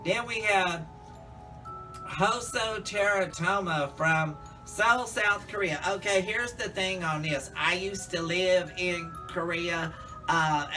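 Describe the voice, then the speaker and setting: A man's voice: sits high, far from the mic. A man in a casual, campy monologue, chatting to viewers as a drag queen reviewer.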